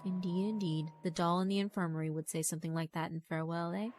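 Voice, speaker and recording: A woman's voice: lowish voice, little pitch movement, over music, lightly acted. A woman voicing a polite, sinister old male headmaster spirit in a horror visual novel, speaking formally. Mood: genteel menace. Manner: formal, polite, theatrical slow chuckle.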